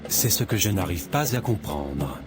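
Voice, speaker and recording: A man's voice: deep voice, animated, moderate pace, over loud music. A man playing an earnest, troubled young man. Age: young adult.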